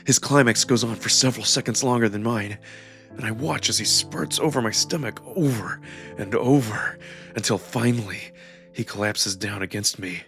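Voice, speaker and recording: A man performing dramatic first-person narration from a scripted story, with expressive, emotional emphasis. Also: on the low side, moderate pace, creaky. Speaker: narrator and voice performer of a visual novel. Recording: music behind the voice. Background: music.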